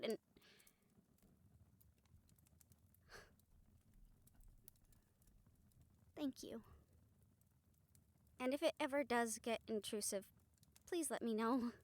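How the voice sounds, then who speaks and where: A woman playing a gentle, supportive rehabilitator comforting a friend in an intimate audio roleplay, speaking softly, earnestly and a little hesitantly. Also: sits high, no room sound. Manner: soft, hesitant, reassuring, earnest.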